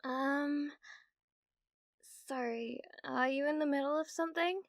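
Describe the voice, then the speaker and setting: A British woman playing a needy, awkward young gamer in an ASMR roleplay, with a halting, nervous manner. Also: high voice, slight background noise. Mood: flustered, eager. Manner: halting, flustered, breathy laughs and hesitations.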